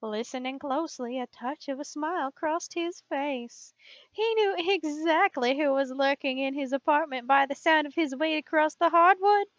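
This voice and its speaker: Girl w a high voice reading fanfic out loud, kinda amused, muffled cheap recording. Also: no echo. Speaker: fan fiction reader. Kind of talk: reading a story aloud. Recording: dull, muffled lo-fi sound.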